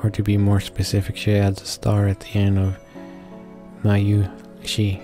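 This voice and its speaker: A man doing a casual read-along of a visual novel, reading haltingly, stumbling over words and correcting himself, with asides of his own. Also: fairly low pitch, completely flat delivery, over music. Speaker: gaming video creator reading a visual novel aloud.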